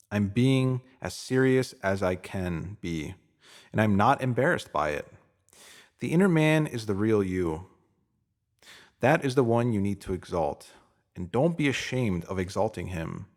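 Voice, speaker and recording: A man reads a spiritual self-help piece aloud as a narrator, with a mid-pitched voice. His delivery is earnest and instructive, like someone teaching through a written text. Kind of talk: reading a motivational spiritual essay aloud.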